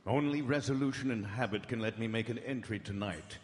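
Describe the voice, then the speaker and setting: A man's voice: mid-range voice, close to an everyday voice. Man acting grave radio-drama doctor in echoey hall. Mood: grave, horrified. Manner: solemn, dramatic, measured storytelling. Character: grave, anguished male doctor reading his private journal. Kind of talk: old-time radio horror drama acting. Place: large hall stage before live audience. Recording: echoey hall, live stage broadcast.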